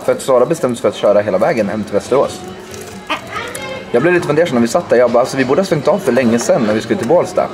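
A young man in his twenties chatting in a relaxed, joking way during a road trip. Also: medium pitch. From Sweden. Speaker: friend of the vlogger. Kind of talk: casual chat. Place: moving car. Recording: car camera from a distance, loud music.

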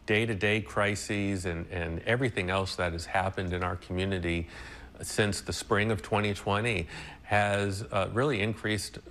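He is a middle-aged American man answering in an interview. The voice is deep, with plenty of vocal fry. There is audible noise behind his unscripted words. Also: fairly even intonation, normal speed, a bit bright. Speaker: nonprofit director being interviewed. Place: indoor room.

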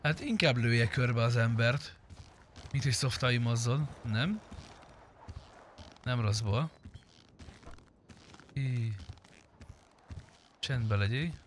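A man's voice: medium pitch, pauses often, a little hiss or hum. A man in casual gaming chat, talking bluntly and sarcastically and swearing freely. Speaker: gamer streaming a roleplay game.

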